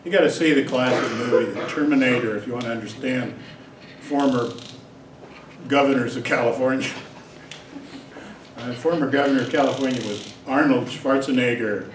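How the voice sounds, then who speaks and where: A man's voice: medium pitch, moderate pace, long pauses. A man giving an informal, chatty psychology lecture, talking straight to his students and wandering off into asides. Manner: chatty, digressive, talks directly to students. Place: lecture hall. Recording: roomy hall, distant mic, faint hiss.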